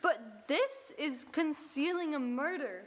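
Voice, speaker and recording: A woman's voice: sits high, expressive, slow pace, a little hiss or hum. A woman playing an earnest, anxious detective's assistant in an old-time radio drama. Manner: earnest and worried.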